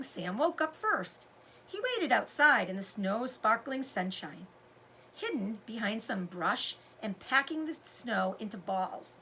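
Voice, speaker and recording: A woman giving an expressive read-aloud narration of a children's novel. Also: on the high side, a little hiss or hum.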